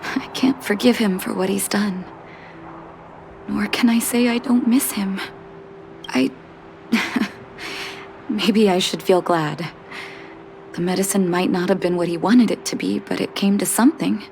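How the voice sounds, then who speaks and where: A woman voicing a gentle, composed female doctor with quiet sorrow in video game story dialogue, soft and reflective. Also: medium pitch, steady tone, a little slow, gravelly, pauses often, slight background noise. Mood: sad, reflective. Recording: background game music.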